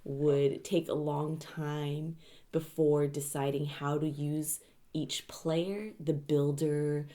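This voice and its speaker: A woman discussing a game casually as a podcast panelist, in a measured, deliberate way. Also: low-pitched, flat delivery, warm tone.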